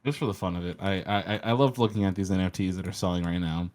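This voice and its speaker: A man's voice: deep voice, fairly even intonation, fast, darkish tone. A man chatting casually and profanely as a podcast panelist. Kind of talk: casual profane group chat.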